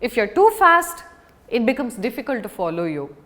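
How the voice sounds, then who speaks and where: A woman giving a public speaking lesson as an instructor, speaking deliberately and emphatically with dramatic pauses. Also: fairly high pitch, big pitch swings, fairly bright tone. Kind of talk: scripted lesson to an audience on video.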